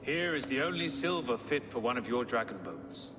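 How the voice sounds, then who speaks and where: A man's voice: mid-range voice, expressive, normal speed, noisy background, lightly acted. Man playing sanctimonious medieval king's man, echoing. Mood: smug, urging. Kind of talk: video game cutscene acting. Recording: reverberant hall, music behind.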